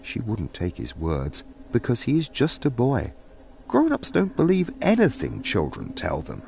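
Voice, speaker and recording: A man's voice: mid-range voice, huge pitch swings, a little slow, vocal fry, darkish tone, a little hiss or hum. A man narrating a scripted fantasy story. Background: faint music.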